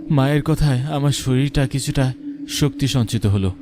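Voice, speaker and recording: A man's voice: mid-range voice, moderate pace, background noise, natural voice. A man voicing a frightened young village boy who tells his ghost story in an earnest, dramatic first-person style for a horror cartoon. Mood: terrified, dramatic.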